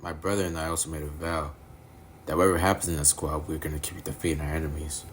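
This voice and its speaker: A man voicing an earnest, guarded young male devil hunter from another universe, delivering animated-story dialogue. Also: rumbling low, fast talker. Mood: somber, resolute. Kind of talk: animated-series character dialogue, recorded in repeated takes.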